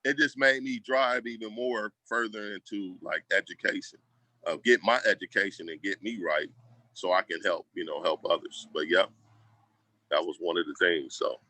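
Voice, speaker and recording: Male interview guest, low-pitched with a forceful edge, casual and slangy, very dry close sound. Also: steady tone, moderate pace, dry recording. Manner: colloquial, slangy, acts out quoted remarks. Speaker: school program leader, guest. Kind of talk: casual conversational storytelling.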